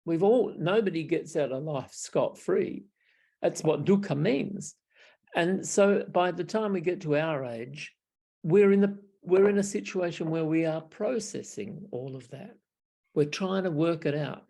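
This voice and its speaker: Older Australian man, gravelly and husky. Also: fairly high pitch, a little slow, mellow. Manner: reflective, wry, chuckling, frequent restarts. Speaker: Buddhist monk. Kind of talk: casual storytelling on a video call. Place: small room at home. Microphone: video call webcam.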